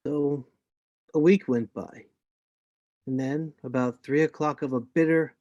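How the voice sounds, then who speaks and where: A man playing the narrator of a radio play reading, telling a boyhood adventure in a measured, suspenseful way. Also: on the high side, a little mellow, no room sound, highs cut off, close to an everyday voice. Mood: grave, suspenseful. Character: grown man recounting his boyhood sea adventure.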